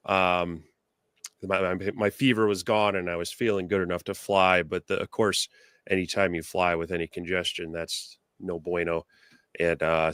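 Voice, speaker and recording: Co-host, male, hoarse, rambling with fillers, low and resonant voice. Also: a bit bright, dry recording, clean studio sound. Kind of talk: casual live-stream chat.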